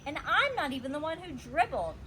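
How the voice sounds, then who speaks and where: A woman voicing an excitable, dramatic little girl in a children's storybook, with emphatic childlike delivery. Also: high-pitched, brisk, slight background noise, pitched above the performer's own voice, exaggerated voice. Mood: grumbly. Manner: emphatic, childlike exclamations and grumbles. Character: excitable dramatic little girl narrating her own mishaps. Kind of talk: children's storybook read-aloud.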